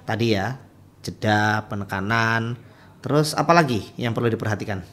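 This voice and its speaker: A man's voice: fairly low pitch, moderate pace, fairly bright tone. A man hosting a casual interview, attentive and often echoing what he hears. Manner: attentive, frequent short acknowledgements, echoes what he hears. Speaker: host, interviewer.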